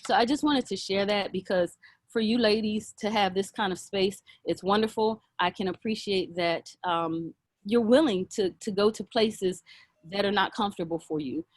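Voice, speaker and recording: A woman sharing a personal reflection with a group on a video call, earnest and halting, with many fillers and restarts. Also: medium pitch, no echo. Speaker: circle participant.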